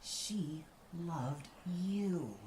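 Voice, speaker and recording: A man's voice: fairly high pitch, animated, voice pushed up. A man voicing Grief, a relentless, witch-like old woman with a blunt, insistent way of speaking, in an audio play. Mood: quiet, insistent. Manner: blunt, drawn-out, insistent delivery. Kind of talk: audio play character acting. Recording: echoey, with noise behind.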